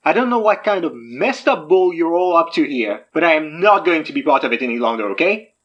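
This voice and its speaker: A man's voice: fairly high pitch, big pitch swings, a little fast, fairly bright tone, highs cut off. A man playing a frightened, indignant character in an audio drama, agitated and sputtering. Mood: panicked, angry. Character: panicked, indignant man fleeing strange occult goings-on.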